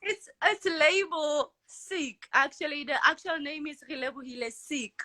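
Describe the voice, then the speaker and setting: Young woman, higher voice, self-assured, laughs a lot. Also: moderate pace. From Lesotho. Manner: confident, expressive, laughs often. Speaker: guest, model and entrepreneur. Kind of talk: casual interview answers.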